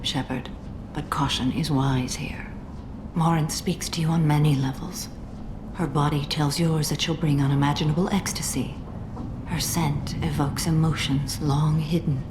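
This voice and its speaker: An actress playing a solemn, composed alien warrior woman in a video game, speaking formally and gravely. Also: low-pitched, lightly acted. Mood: solemn warning. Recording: clearly noisy game ambience.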